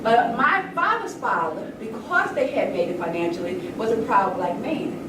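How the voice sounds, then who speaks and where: A woman's voice: mid-range voice, lively intonation, normal speed. An older woman giving an impassioned, informal talk, earnest and emphatic, with a conversational storytelling way of speaking. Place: indoor room at a public event. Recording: echoey room, distant mic, noisy background.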